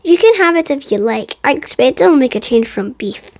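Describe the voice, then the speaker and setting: A woman's voice: sits high, lively intonation, normal speed, a little mellow, pitched above the performer's own voice. A woman voicing a small, polite, eager young male bear in a children's storybook, speaking earnestly. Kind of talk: children's storybook read-aloud.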